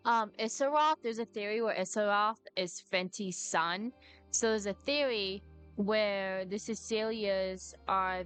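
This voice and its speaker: A woman's voice: mid-range voice, a bit bright, clear, resonant tone. A young woman chatting casually as a game streamer, informal and talkative. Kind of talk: casual livestream chat. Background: game music.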